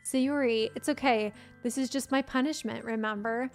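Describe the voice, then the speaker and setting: Hoarse woman reading a gentle, heartbroken teen girl from a game, soft and plain, a touch high. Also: fairly even intonation, moderate pace, soft background music, natural voice. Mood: pleading. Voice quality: plain read-aloud delivery. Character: sweet teenage girl, quietly heartbroken.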